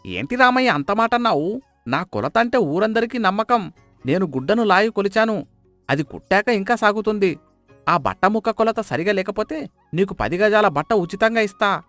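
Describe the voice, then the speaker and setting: A man's voice: sits high, expressive, normal speed, a bit bright, no echo, soft background music. A man playing a sly, miserly cloth merchant in a moral story, wheedling, exclaiming and justifying himself. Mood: indignant, scheming.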